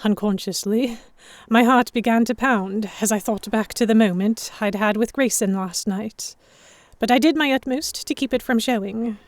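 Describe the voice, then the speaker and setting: A woman playing an anxious young noblewoman captive, narrating her own story in a measured, introspective way for a historical romance audio drama. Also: a little high, no room sound, lightly acted. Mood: guarded.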